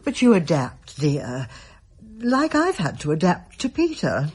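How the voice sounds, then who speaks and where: An actress playing an older mother in a radio drama, resigned and quietly in denial, dry and knowing. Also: fairly low pitch, huge pitch swings, measured pace, breathy edge, exaggerated voice.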